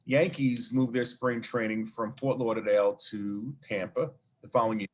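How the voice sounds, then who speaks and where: A man's voice: fairly low pitch, steady tone, moderate pace. A man with a Brooklyn accent, a guest telling long stories in an interview, rambling with many fillers and asides. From New York. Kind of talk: casual storytelling interview.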